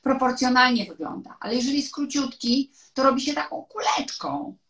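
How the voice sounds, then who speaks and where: A woman's voice: sits high, lively intonation, moderate pace, a bit bright, recorded from a distance. A woman in her fifties hosting a video-call interview, asking questions frankly and openly. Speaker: show host. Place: living room, indoors. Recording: echoey, off-mic room sound.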